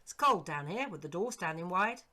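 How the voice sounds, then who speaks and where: A woman's voice: on the low side, expressive, moderate pace, sharp tone. A woman reading a storybook and voicing a deferential, concerned Victorian working man, respectful and urgent. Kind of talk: storybook character acting.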